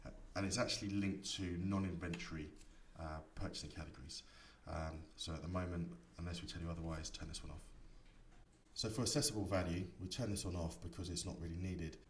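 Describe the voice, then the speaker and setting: A man giving a software tutorial, explaining each setting step by step in an instructor's manner. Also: sits low, steady tone, brisk. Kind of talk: screen-recorded tutorial explanation. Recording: echoey room, distant mic, faint noise.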